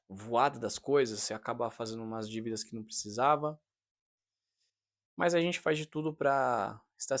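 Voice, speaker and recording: Earnest man in his thirties. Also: mid-range voice. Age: 30s. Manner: earnest, confiding, frequent "né?" tags. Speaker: vlogger, fitness trainer. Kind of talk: casual monologue to camera.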